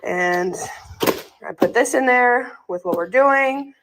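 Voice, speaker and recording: A woman narrating a travel vlog in a bubbly, giggly, excited way. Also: a little high, slow pace, background noise. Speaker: vlogger.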